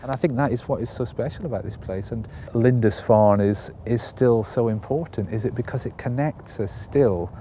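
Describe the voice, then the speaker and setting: A man's voice: mid-range voice, normal speed, hardly any pauses, warm tone, slight background noise. A man explaining things in a conversational way, hedging with fillers as he goes. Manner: conversational, hedging with "you know" and "um". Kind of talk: explanatory talk.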